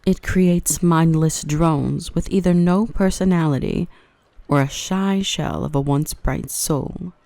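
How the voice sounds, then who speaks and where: A woman playing a dominant yet protective dark elf mistress in an ASMR roleplay, by turns cutting and tender. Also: low voice, slow pace, gravelly, no room sound, exaggerated voice. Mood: stern, menacing. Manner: stern and cutting to traders, tender and coaxing to the human. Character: dominant female dark elf, protective, gentle with her rescued human.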